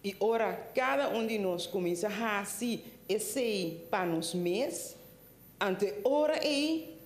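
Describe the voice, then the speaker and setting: Woman, ceremonial council speech, slow and measured, roomy echo. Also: medium pitch, lively intonation. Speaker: council member giving a speech. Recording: echoey hall.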